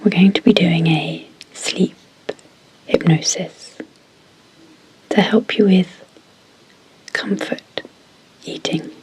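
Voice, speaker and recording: A woman speaking in a soft, breathy whisper as an ASMR sleep hypnosis guide, deliberately and in short phrases with pauses. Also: on the low side, steady tone, rough recording. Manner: slow, deliberate, pausing between short phrases.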